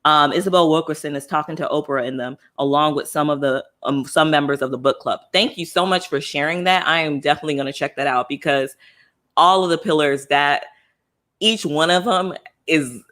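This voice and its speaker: A man from the United States hosting a casual book club discussion, thinking aloud with frequent fillers and restarts and swinging between excitement and thoughtful pauses. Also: on the high side.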